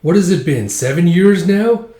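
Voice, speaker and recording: A man's voice: sits high, lively intonation, normal speed, warm tone, voice pushed up. A man voicing a villainous count in a story, gloating and taunting in a grandiose manner. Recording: roomy echo.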